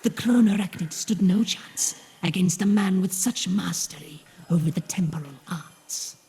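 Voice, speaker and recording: Horned alien gent, whispery and formal, heavy hall reverb. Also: male voice, high voice, moderate pace, mellow, breathy edge, voice pushed up, exaggerated voice. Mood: hushed formal. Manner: whispery, murmuring, courteous. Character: pale blue horned male alien, formal and hushed. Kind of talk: audio drama character voice.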